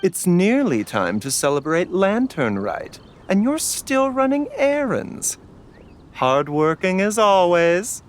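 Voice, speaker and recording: A man voicing a gentle, courteous young doctor with a polite, lilting manner. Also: high voice, very animated, slow pace, slight background noise, full character voice. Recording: game audio with music.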